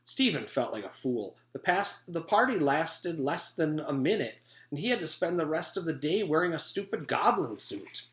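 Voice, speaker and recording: A man's voice: a little high, very animated, normal speed, fairly bright tone. A man reading a children's story aloud as a teacher would to a class. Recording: echoey room, home-made reading.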